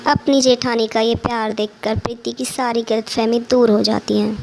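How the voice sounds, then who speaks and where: A woman's voice: fairly high pitch, steady tone, normal speed, creaky, a little mellow, slight background noise, rough recording. A woman storyteller reading scripted narration for a cartoon moral story.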